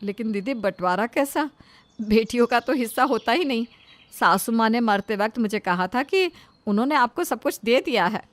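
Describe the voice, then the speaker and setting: Anxious mother-in-law, fairly high, voiced by a woman. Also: lively intonation, moderate pace, close to an everyday voice. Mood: worried. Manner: instructing, placating. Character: anxious, mild middle-aged mother-in-law.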